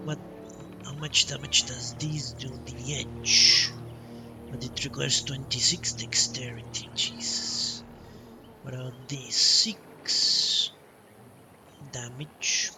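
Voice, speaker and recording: A man streams a video game and thinks aloud as he plays. His pace is slow and casual, with short muttered questions to himself. Game music plays clearly behind his voice. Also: medium pitch, level tone.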